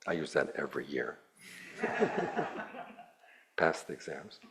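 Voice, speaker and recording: A man, a Buddhist monk, giving a plainspoken, measured dharma talk to a retreat group with a dry, wry touch. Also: extremely low pitch, huge pitch swings, over a noisy crowd.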